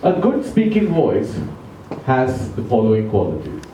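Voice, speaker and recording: A man giving an educational talk to a club audience, speaking slowly and deliberately, asking them questions, English not his first language. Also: mid-range voice, wildly swooping pitch, mellow, distant mic, a little hiss or hum. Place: club meeting room. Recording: echoey room recorded from afar.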